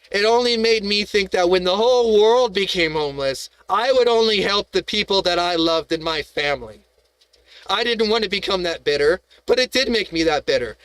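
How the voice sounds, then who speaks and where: A man with a fairly high voice hosts a live stream and talks in a heated, rambling rant. He swears freely, restarts his sentences and keeps throwing rhetorical questions at his viewers. The sound is dry and close, with no room around it. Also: a bit bright, clear, resonant tone, no echo, rough recording.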